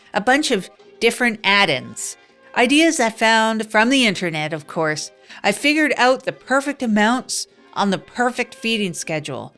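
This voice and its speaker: A woman narrating as a wry down-and-out woman. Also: mid-range voice. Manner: deadpan, rambling, self-deprecating asides. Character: wry down-and-out woman, self-mocking. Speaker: narrator of the show. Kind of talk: improvised comic first-person narration.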